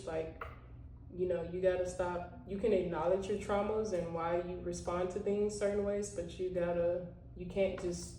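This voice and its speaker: A woman's voice: fairly low pitch, dark tone, a little hiss or hum. A woman giving a casual motivational talk to her viewers, full of "you know" and "like.". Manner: conversational, heavy use of "you know" and "like". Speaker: vlogger. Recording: roomy echo, voice a bit far from the mic.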